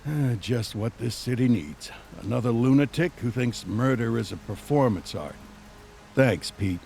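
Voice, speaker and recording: An actor playing a cynical, world-weary senior male cop with a gravelly voice and dry, jaded delivery in a video game. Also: medium pitch, measured pace, darkish tone, a little hiss or hum. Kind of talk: video game cutscene dialogue.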